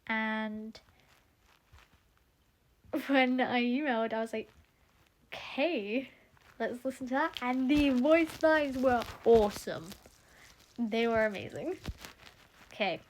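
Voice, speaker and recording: Young woman, high-ish voice, slow and rambling, tired and slightly croaky, faint noise behind her. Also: animated, low-quality recording. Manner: chatty, rambling, self-interrupting. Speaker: audio drama creator. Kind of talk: casual cast introduction.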